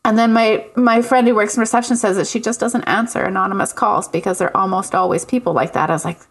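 A woman co-hosting a podcast, telling a story in casual chat with lots of laughter and a high, youthful voice she calls a baby voice. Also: fairly even intonation, quick pace. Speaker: podcast co-host.

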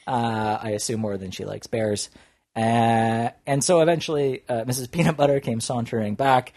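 A man co-hosting a podcast, telling a rambling story with lots of uh fillers and a deadpan delivery. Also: medium pitch, normal speed, no echo, studio quality. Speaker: podcast co-host. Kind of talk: casual storytelling chat.

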